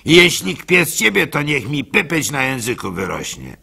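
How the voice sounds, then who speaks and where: Radio drama bride: male actor as sharp-tongued peasant woman, brash and defiant, pitched up, forceful. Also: big pitch swings, moderate pace, creaky, slightly rough, heavily acted. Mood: defiant, haggling. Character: brazen, sharp-tongued village woman haggling over marriage.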